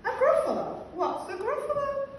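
A woman voicing a hungry, easily startled male owl with drawn-out, exaggerated questions in a storybook. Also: very high voice, very animated, pitched above the performer's own voice. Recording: roomy, distant classroom recording, faint noise.

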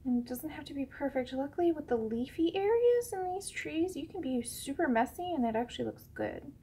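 High-voiced woman with a sniffly head cold. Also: expressive, brisk, a little hiss or hum. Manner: chatty and rambling, many ums and restarts, drops to soft whispers at times. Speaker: coloring tutorial host. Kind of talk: casual real-time drawing tutorial.

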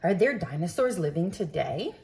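A woman's voice: fairly low pitch, sing-song, normal speed, warm tone. A woman voicing eager, curious children asking questions in a storybook read-aloud.

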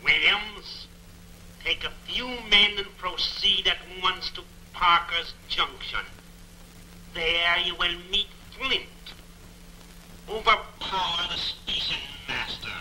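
An actor playing an imperious criminal mastermind giving slow, deliberate orders in a 1940s film serial. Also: male voice, high-pitched, pushed and bright, heavily acted. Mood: cold, commanding. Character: commanding masked male crime boss giving orders over a device. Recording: noisy old 1940 film soundtrack.

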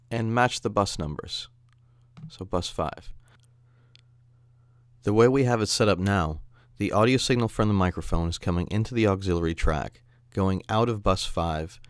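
Guy doing a software tutorial, kinda low smooth voice, chatty explaining, really dry recording. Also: no room sound. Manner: conversational, explanatory. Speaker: audio software instructor. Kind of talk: tutorial narration over screen demo.

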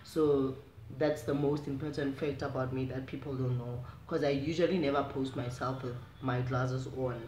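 A young South African woman with a low voice, chatting casually to the camera in a small room at home, animated and chatty. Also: moderate pace, a little hiss or hum. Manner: chatty, animated, gestures while talking. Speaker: vlogger. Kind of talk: casual direct-to-camera chat. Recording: echoey room.

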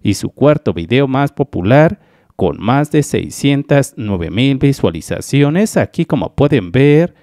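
A man's voice: mid-range voice, warm tone, dry recording, clean studio sound. A man from Mexico talking viewers step by step through a calculation in a screen-recorded explainer voice-over, calling them his dear friends. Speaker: YouTube channel analyst.

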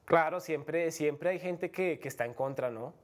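A man's voice: fairly high pitch, a little slow. A young Colombian man with a Bogotá accent talks earnestly and frankly, his slightly raised voice stumbling over restarts and fillers. He sits as a guest on a studio set, picked up by a lapel mic. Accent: Bogotá Colombian Spanish. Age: young adult. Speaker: MMA fighter guest. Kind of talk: casual interview talk.

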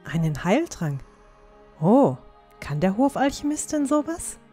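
A woman's voice: medium pitch, big pitch swings, measured pace, dark tone, slightly rough, faint music behind. A woman playing a confident, eager young dwarf huntress in a fantasy audio drama. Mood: curious, eager.

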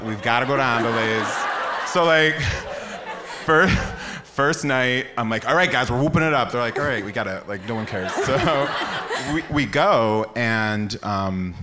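Animated American man telling gossipy stories. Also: medium pitch. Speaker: guest on a live podcast. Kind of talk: casual comic storytelling. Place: theater stage before live audience. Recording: noisy live stage recording, clicks.

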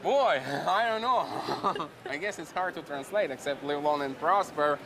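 A native Russian speaker, a man in his thirties, chatting haltingly and good-humouredly in an interview. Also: on the high side, a crowd in the background. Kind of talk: casual interview answer. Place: crowded indoor convention hall. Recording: noisy convention floor.

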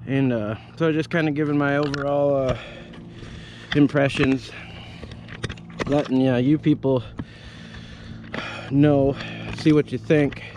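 A man's voice: mid-range voice, fairly even intonation, measured pace, pauses often, noisy background. A man in his thirties, an arborist, giving a casual, rambling gear review from up a tree outdoors on a head-mounted camera, full of fillers and restarts. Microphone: head-mounted action camera.

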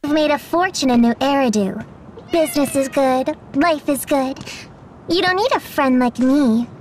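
Woman as a high-voiced, haughty anime cat-woman. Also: normal speed, slight background noise, natural voice. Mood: cool, disdainful. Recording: loud music behind the voice.

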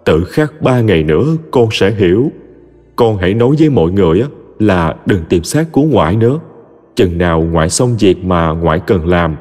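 A man voicing the tender ghost of an old grandmother, speaking softly and consolingly. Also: sits low, lively intonation, normal speed, long reverb tail, faint music behind, pitched below the performer's own voice. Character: gentle ghostly old grandmother, loving and calm.

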